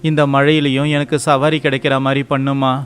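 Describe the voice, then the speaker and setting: A man playing a poor, devout, hardworking rickshaw driver and devoted husband in an animated moral story, earnest, pleading and respectful. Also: medium pitch, normal speed, smooth, soft background music, lightly acted. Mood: worried, pleading.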